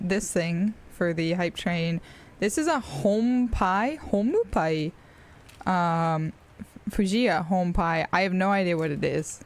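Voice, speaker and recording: A young woman with an American accent chats casually to her stream audience in a slightly low voice. She rambles from thought to thought with plenty of fillers. Easy laughs keep breaking into her talk. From Australia. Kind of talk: casual live-stream chat.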